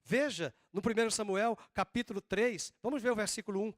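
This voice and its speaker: A man preaching a sermon as pastor, with a drawn-out Northeastern Brazilian accent and slow, emphatic stresses. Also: sits high, no echo, clean studio sound. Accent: Northeastern Brazilian Portuguese.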